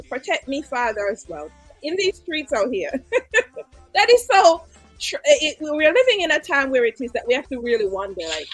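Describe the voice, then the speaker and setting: A woman's voice: sits high, fairly bright tone. A Jamaican woman hosting a casual live-stream interview, laughing often as she talks. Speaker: host.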